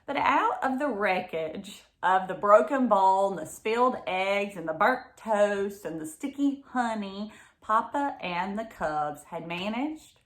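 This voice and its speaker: A woman reads a picture book aloud for children in a very lively, unhurried way. She speaks expressively and turns to her listeners with asides, in a room with noticeable echo. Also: mid-range voice. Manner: expressive, exclaiming, asks listeners questions. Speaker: read-aloud storyteller.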